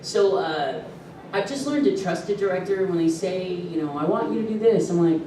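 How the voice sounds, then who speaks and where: A man chatting at a convention panel and telling animated stories between bursts of laughter. He sounds far from the mic in a very echoey room, with steady hum behind him. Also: high-pitched, moderate pace. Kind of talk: casual panel talk.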